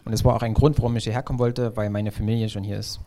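A man's voice: on the low side, level tone, rapid-fire, mellow. A man interpreting for a speaker at a church service, delivering short consecutive phrases.

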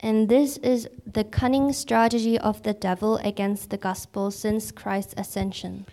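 A woman interpreting a sermon into English in fluent, even sentences after each passage. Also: mid-range voice, a little slow.

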